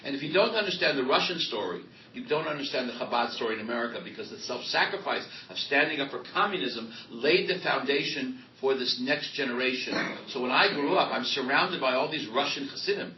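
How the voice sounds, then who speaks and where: A middle-aged man, a rabbi and author, speaking emphatically and loudly in a live interview, telling stories. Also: mid-range voice, expressive, a little fast, hardly any pauses, a little hiss or hum. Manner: emphatic, loud, storytelling. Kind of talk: live panel interview before an audience. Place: event hall with audience. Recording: roomy hall, distant mic, dull lo-fi sound.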